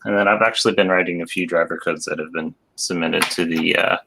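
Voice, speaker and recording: A man casually showing off his own projects as a guest, pausing often as he goes. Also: deep voice, steady tone, creaky, noisy background. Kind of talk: casual show-and-tell.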